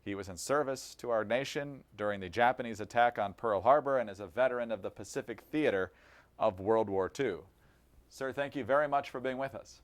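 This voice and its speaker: A man hosting an interview show, reading a scripted introduction and asking polite, measured questions. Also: mid-range voice.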